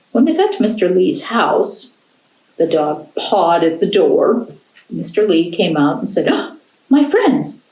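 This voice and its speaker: An American woman telling a folktale to children in an animated storyteller's style. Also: fairly low pitch. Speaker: library storyteller. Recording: roomy, echoing indoor sound.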